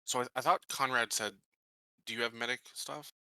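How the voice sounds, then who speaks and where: A man playing a tabletop roleplaying game, improvising his character's words in a halting, tentative way. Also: medium pitch, fairly even intonation, sharp tone, clear, resonant tone, no echo, low-quality recording.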